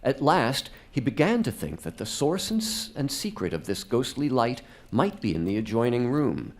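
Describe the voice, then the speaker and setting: A man's voice: mid-range voice, sing-song, moderate pace. A man narrating a live radio drama as a scripted, dramatic storyteller. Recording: faint music behind.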